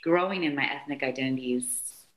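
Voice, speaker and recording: Forties woman, really deep voice, guest author chatting openly, lots of ums, from argentina raised in california. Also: moderate pace. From born in Argentina, raised in California. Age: 40s. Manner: chatty, open, frequent ums. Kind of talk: casual interview conversation.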